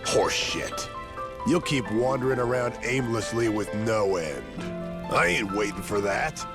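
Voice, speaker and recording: Gruff hot-headed yakuza, deep gravelly slow talker, swears a lot, music underneath. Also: male voice, animated. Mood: scolding. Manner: blunt, confrontational, profane. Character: gruff, blunt, hot-tempered veteran Yakuza man. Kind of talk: video game cutscene acting.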